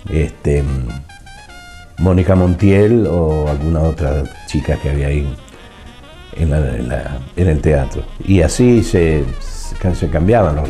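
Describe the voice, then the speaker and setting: An older man with an Argentine accent reminisces in an interview, speaking haltingly as he searches for words. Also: low voice, warm tone.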